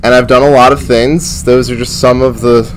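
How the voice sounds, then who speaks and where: A man presenting an informal convention panel in a playful, exclamatory way with a loud voice. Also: mid-range voice, little pitch movement, normal speed, low-quality recording. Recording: live room with crowd noise. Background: audience murmur and room noise.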